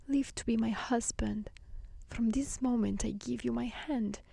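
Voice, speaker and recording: A woman voicing a devoted, tender young fairy-tale heroine in a breathy whisper. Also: a little high, fairly even intonation, unhurried, mellow, no echo, pitched above the performer's own voice, exaggerated voice. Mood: tender, pleading.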